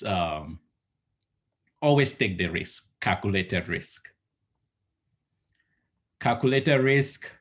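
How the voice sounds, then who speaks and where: A man in his thirties from Cameroon, giving earnest, rambling advice on a home video call and restarting his sentences often. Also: lowish voice. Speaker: entrepreneur guest. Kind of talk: casual video call interview. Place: home living room, indoors. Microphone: laptop webcam on a video call.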